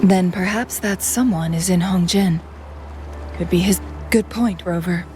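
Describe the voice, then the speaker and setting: A woman playing a composed, courteous and analytical lady adviser in a video game, speaking formally and calmly. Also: fairly low pitch, music in the background, lightly acted. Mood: thoughtful. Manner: measured, formal, calm.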